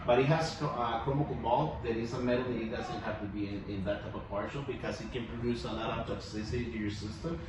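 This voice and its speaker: A man's voice: mid-range voice, steady tone, quick pace, hardly any pauses. Male dentist, Mexican Spanish accent, chatty clinic testimonial, off-mic in a reverberant room. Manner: friendly, rambling, tag questions like "okay?" and "you know?". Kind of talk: casual clinic testimonial chat. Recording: echoey room, far from mic, audible noise.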